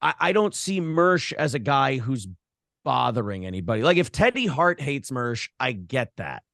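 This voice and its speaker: A man's voice: medium pitch, very animated, normal speed, creaky, a bit bright, dry recording, studio quality. A man chatting casually as an interviewed podcaster, giving blunt, profane opinions. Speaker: podcast host being interviewed.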